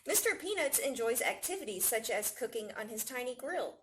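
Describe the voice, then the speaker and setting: Animated woman reading a children's story. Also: a little high, fairly quick, background noise. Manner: expressive, storytelling to young children. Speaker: library staff storytime reader. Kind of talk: children's picture book read-aloud.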